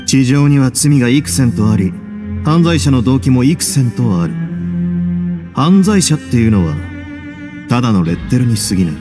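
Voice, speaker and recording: An actor playing a composed, wry young duke who runs a prison in a game, measured and nonchalant with quiet authority. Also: male voice, mid-range voice, lively intonation, over music, natural voice. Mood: reflective. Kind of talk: game voice acting.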